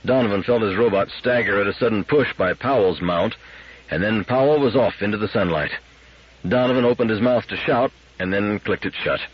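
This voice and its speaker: A man with a husky voice giving a scripted narration of a science fiction story as an audiobook reader. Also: lowish voice, brisk, dull audio.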